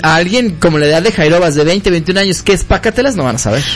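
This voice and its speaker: High-voiced Mexican man co-hosting radio. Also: expressive, fast, faint music behind. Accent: Mexican Spanish. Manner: easygoing, agreeable, laughs along. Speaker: radio co-host. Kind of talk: casual radio banter.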